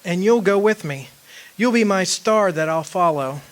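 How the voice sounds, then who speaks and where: A man acting in a radio drama as a wistful, earnest man devoted to his lost young love, speaking in deliberate phrases. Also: high voice, expressive.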